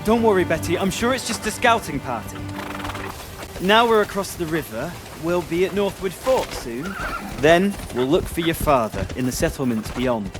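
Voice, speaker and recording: An actor plays a young hero with a rather high voice, calm and reassuring toward his companions. His lines carry a light, dry humor. Game score music plays underneath. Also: moderate pace, lightly acted.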